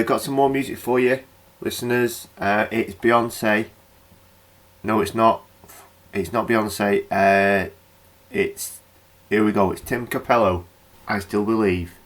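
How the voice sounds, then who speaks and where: A man plays a nervous British local radio host in a comedy horror radio play. His voice sits fairly low, and he stumbles and rambles apologetically as he tries to keep control. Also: a bit bright, slight background noise. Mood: rattled, flustered. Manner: hesitant, rambling, stammering.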